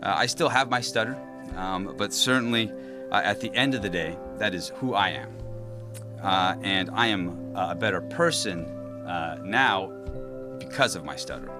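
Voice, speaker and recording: A man in public office who talks with a stutter, pausing often on fillers before hard words. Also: deep voice. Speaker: state politician. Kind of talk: speaking at a public event. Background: background music.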